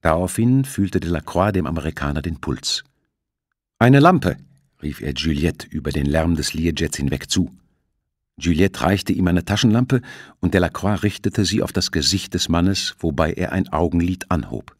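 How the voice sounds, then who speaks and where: A man narrating a thriller novel as an audiobook, with measured, dramatic storytelling. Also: low voice, dry recording, clean studio sound.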